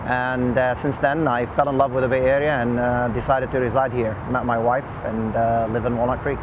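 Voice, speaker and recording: Middle-aged man over loud traffic noise. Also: medium pitch, flat delivery. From Lebanon. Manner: halting, with frequent long hesitations. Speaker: engineer interviewee. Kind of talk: oral history interview, unscripted recollection.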